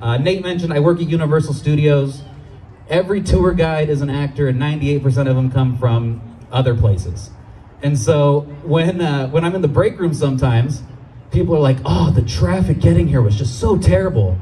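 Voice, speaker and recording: Animated young male stand-up comic. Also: moderate pace, a little hiss or hum, low-quality recording. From Los Angeles. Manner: animated, acts out quoted people in high voices. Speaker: stand-up comedian. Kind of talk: stand-up comedy set. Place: outdoor brewery stage. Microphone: handheld mic.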